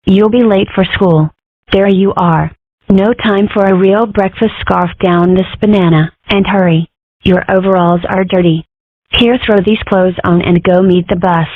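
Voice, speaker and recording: A brisk cartoon mother fox, as a woman voices her hurries and gives orders at a middling pitch. The sound is dry and tinny, like a phone line. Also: fairly even intonation, dry recording, natural voice. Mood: hurried. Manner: bossy and rushed.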